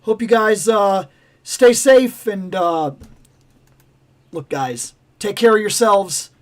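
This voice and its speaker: Casting: high-pitched male livestream host, raspy and hoarse, rambling and animated. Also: slow. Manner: rambling, animated, self-interrupting. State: raspy, losing his voice. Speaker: toy channel livestream host. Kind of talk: casual livestream chat.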